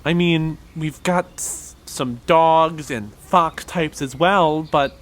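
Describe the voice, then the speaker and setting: A man playing a thoughtful young folklore buff in an audio drama, explaining things in a measured, conversational way. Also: on the high side.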